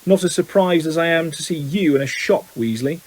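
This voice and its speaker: A man's voice: a little high, a little fast, darkish tone, slight background noise, lightly acted, rough recording. A man voicing a sneering, spiteful schoolboy bully in an audiobook, with a taunting drawl.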